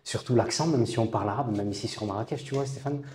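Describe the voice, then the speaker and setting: A man in his late thirties from southern France, a guest telling his own story in a thoughtful, halting way with many pauses and restarts. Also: mid-range voice, darkish tone, echoey room, slight background noise. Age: late 30s. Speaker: interview guest. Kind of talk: reflective personal storytelling.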